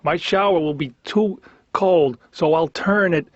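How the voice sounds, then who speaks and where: High-voiced, exasperated male comedian. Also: unhurried, no echo. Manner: exasperated, neurotic complaining. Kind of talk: conversational observational comedy monologue. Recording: slightly dull lo-fi cartoon soundtrack.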